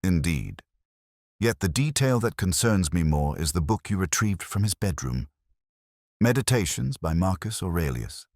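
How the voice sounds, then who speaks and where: A man voicing a probing, measured Victorian detective with formal speech in an audiobook story. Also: deep voice, sing-song, darkish tone, no room sound, studio quality, exaggerated voice. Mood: courteous, probing. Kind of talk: audiobook character dialogue.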